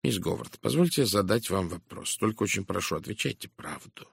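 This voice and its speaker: A man voicing a shrewd, composed Belgian detective in an audiobook, speaking with measured courtesy and deliberate, probing emphasis. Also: on the low side, lively intonation, gravelly, husky, no room sound, studio quality, heavily acted. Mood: calm, probing. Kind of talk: dramatised audiobook dialogue.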